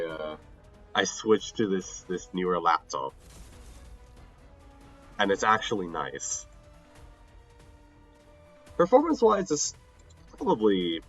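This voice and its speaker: A fairly low-voiced young man, slightly nasal from a cold, chats loosely on a gaming stream. His pitch swings widely as he rambles and restarts his sentences. The recording is a bit dull, with faint game music underneath. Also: wildly swooping pitch, a little slow, pauses often, a bit bright. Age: young adult. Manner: rambling, self-interrupting, laughs often. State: a little sick and tired. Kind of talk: casual livestream chat while gaming.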